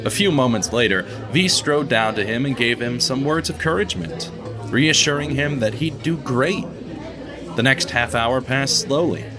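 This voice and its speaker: A male narrator reads a radio-theatre story in a measured, expressive way, his voice rising and falling a lot as he tells it. Guitar music plays clearly behind him. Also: medium pitch. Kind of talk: scripted audio drama narration.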